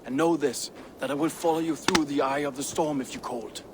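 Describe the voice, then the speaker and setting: A man's voice: fairly high pitch, fairly quick, noisy background, natural voice. A man playing a devoted Viking warrior in a video game, speaking solemnly and earnestly. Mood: loyal, solemn. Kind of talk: dramatic video game acting.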